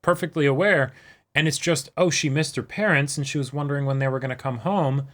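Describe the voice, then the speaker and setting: A man's voice: a little high, steady tone, a little fast, fairly bright tone. A man co-hosting an anime reaction show, casually talking a story through and often slipping into imagined character lines.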